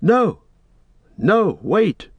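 A man voicing a gentlemanly hobbit master in short, urgent sentences, strained and commanding, as in a storybook reading. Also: high-pitched, very animated, drawn-out words, slightly rough, no room sound, highs cut off, pitched above the performer's own voice, exaggerated voice. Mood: reassuring.

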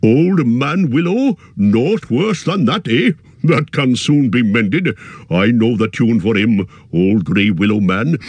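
A man's voice: mid-range voice, darkish tone, slightly rough, no room sound, exaggerated voice. A man voicing a jolly old male nature spirit with hearty, rustic, singsong speech.

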